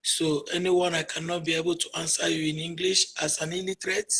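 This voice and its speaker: A Nigerian woman whose first language is Igbo, answering interview questions emphatically, dropping to near murmurs and then rising to loud declarations. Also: fairly low pitch, flat delivery, brisk, slightly rough. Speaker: interviewee, activist. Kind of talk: emotional call-in interview.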